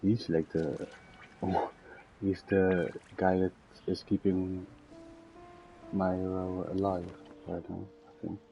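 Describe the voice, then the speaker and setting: Casting: male gamer chatting offhand while playing, very low and soft-edged, faint music behind. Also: little pitch movement, slow, gravelly, lo-fi sound. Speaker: game streamer. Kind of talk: casual gaming commentary.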